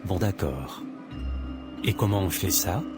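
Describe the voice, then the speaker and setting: Low-voiced earnest young boyfriend played by a man. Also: lively intonation, slow, over music. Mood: hesitant. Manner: hesitant, serious.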